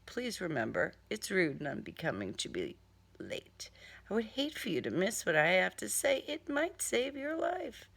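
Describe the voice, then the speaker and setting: A woman voicing a menacing, courtly male serial killer in an audiobook, hushed, deliberate and mock-polite. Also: medium pitch, big pitch swings, gravelly, breathy edge, full character voice. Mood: mock-polite menace.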